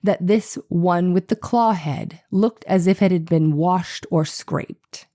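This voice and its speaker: A woman's voice: on the low side, slow, dark tone. A woman playing a persistent, skeptical male defense attorney in a dramatized courtroom reading, with probing, pointed questions.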